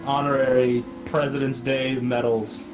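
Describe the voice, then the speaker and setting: A man's voice: mid-range voice, little pitch movement, a little slow, over music, rough recording. A man chatting casually with friends after a sim race, joking and laughing. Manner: easygoing, laughs between remarks. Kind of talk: casual chat over voice chat.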